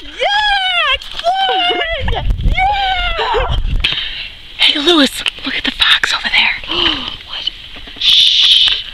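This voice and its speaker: A woman playing an excitable, playful explorer in an amateur improvised comic skit, full of gasps and exclamations. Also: extremely high pitch, extremely slow, long pauses, background noise, heavily acted. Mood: excited, then hushed. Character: giddy, excitable explorer on a frontier expedition.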